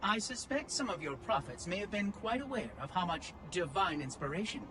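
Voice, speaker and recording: An actor voicing a prim, inquisitive ancient machine oracle in a video game, precise and fussy in speech. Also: male voice, high-pitched, big pitch swings, a little fast, low-quality recording. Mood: cool, pedantic. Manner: precise, articulate, probing, fussy. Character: prim, inquisitive ancient robot oracle, male, coolly pedantic. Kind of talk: video game cutscene dialogue. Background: music.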